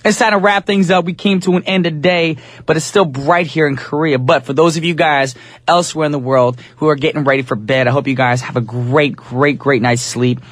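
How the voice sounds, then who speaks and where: A young man with a husky voice, hosting a radio show in a casual, playful way from a studio mic. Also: a little high, steady tone, fairly quick, a bit bright. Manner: easygoing, playful, says y'all.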